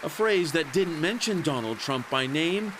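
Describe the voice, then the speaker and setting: A man's voice: on the high side, animated, unhurried. A man with a Canadian accent delivering scripted news narration as a reporter. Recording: busy crowd and noise behind.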